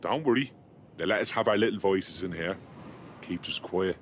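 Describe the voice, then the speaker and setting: An actor playing a boozy, cynical British detainee in a video game, grumbling in a sardonic, colloquial way. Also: male voice, deep voice, moderate pace, slight background noise, close to an everyday voice. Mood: sardonic, bitter. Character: cynical, boozy male detainee in dystopian city.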